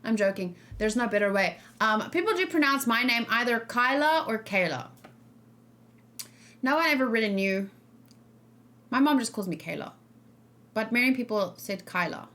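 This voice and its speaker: A woman's voice: fairly high pitch, expressive, normal speed, fairly bright tone. Casual female streamer, English speaker. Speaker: streamer reacting to game trailers. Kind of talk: casual livestream chat.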